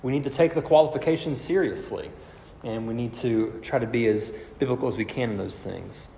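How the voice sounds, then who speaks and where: A man's voice: medium pitch, fairly quick, creaky, mellow, a little hiss or hum. A man, a pastor getting over a cold, teaching through scripture step by step in an explanatory sermon. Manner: explanatory, step-by-step, rhetorical questions. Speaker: pastor preaching. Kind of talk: expository Bible-teaching sermon. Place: large reverberant room, preaching to congregation. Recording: echoey hall reverb, slightly dull sound.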